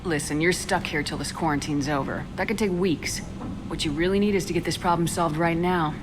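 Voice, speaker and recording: An actress playing a calm, direct female military commander in a video game, speaking in a firm, businesslike way. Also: on the low side, a little fast, close to an everyday voice. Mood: firm, persuasive. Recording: loud ambient noise behind voice.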